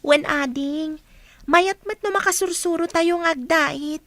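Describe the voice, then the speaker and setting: A woman's voice: high-pitched, measured pace, a bit bright, dry recording. A woman playing a dutiful, caring eldest daughter, a young girl, in a radio drama. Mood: caring, sisterly. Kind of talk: Ilocano radio drama acting.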